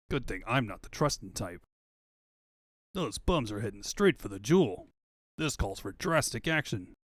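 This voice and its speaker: A man voicing a gruff, suspicious young echidna in a comic fan dub, talking like an old-time wiseguy. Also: medium pitch, a bit bright, no echo, studio quality, lightly acted.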